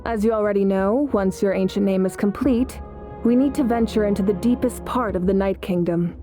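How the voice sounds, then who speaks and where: A woman's voice: mid-range voice, lightly acted. Composed, resolute female war leader, acted by a woman. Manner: measured, assured. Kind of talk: video game character acting. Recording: game music behind the voice.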